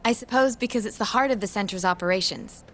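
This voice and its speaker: An actress playing a polite, precise female hospital staff worker who explains things in a calm, informative way, in a game scene with faint music behind. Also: mid-range voice, fast talker, natural voice. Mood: explaining. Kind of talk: game dub acting.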